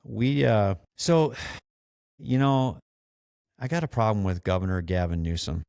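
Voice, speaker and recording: American male podcast host, lowish smooth voice, blunt and exasperated opinion style, dry sound. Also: a little slow, darkish tone, no echo. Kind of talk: opinionated casual podcast rant.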